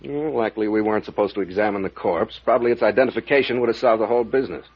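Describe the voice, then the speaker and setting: An actor playing a brusque, sardonic captain who takes charge in an old-time radio mystery serial. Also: male voice, fairly low pitch, racing, breathy edge. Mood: brisk. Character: brusque, commanding male captain investigating mysteries. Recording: muffled old radio recording.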